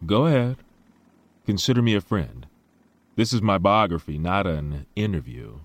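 A very low-pitched male voice as a genteel billionaire inventor in a sci-fi audio drama. He talks in formal, reflective phrases, smooth and sure of himself and his ideals. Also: big pitch swings, normal speed, no room sound. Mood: intrigued, assured. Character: male billionaire tech mogul, self-assured, idealistic, genteel.

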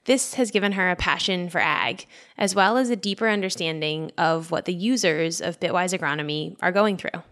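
A woman's voice: lowish voice, normal speed, fairly bright tone. A woman hosting a podcast, interviewing and narrating in a conversational style. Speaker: podcast host.

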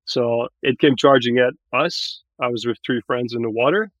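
A man's voice: mid-range voice, moderate pace, fairly bright tone, dry recording. A man from Barbados chatting casually as a podcast guest, explaining things in an articulate way. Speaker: podcast guest, tech growth manager. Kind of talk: casual interview chat.

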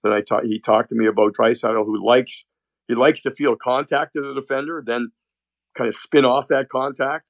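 Male analyst, gravelly, dry recording, animated chatty delivery. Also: medium pitch, normal speed, dry recording. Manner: animated, restarts often. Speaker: hockey analyst. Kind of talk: casual sports chat.

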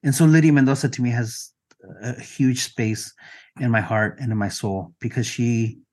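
A man's voice: mid-range voice, fairly even intonation, moderate pace, a little mellow. A man from Mexico, a native Spanish speaker, talking earnestly and reflectively as a guest in a conversational podcast interview. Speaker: podcast guest, music collection digitizer.

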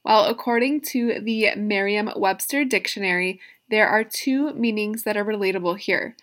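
A woman's voice: mid-range voice, moderate pace, a bit bright. A woman in her mid-thirties hosting a solo motivational podcast, telling her own story in an earnest, personal way with emphatic asides. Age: 30s. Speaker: podcast host.

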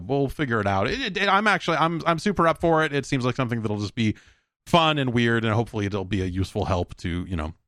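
A man's voice: fairly low pitch, fairly bright tone, dry recording. A man in his late forties hosting a podcast alone, rambling casually with frequent swearing and self-deprecating comic asides, close on the microphone. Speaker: podcast host.

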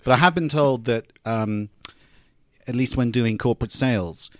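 A man's voice: mid-range voice, moderate pace, no room sound. An English man in his forties with an upper-class English accent, chatting as a podcast guest with dry, wry humor. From England. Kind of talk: casual chat.

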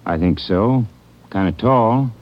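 An actor playing a plain-spoken, defensive manager of a cheap hotel in a radio drama, talking colloquially. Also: male voice, on the low side, takes it slowly. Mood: uneasy, defensive. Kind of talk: 1940s radio drama acting. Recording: dull old radio recording.

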